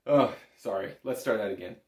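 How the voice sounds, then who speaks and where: Man, fairly deep voice, instructor, casual tutorial delivery, reassuring, strong room echo. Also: brisk, darkish tone. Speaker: bagpipe instructor. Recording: echoey room.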